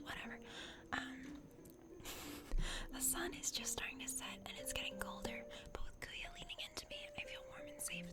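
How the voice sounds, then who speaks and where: Whispering woman narrating a game over music. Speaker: host of an ASMR let's play.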